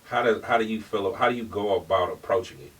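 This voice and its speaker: A man co-hosting a podcast, relaxed and appreciative as he asks questions. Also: fairly low pitch, fast talker. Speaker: podcast co-host. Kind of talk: casual podcast chat. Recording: distant mic with ambient noise.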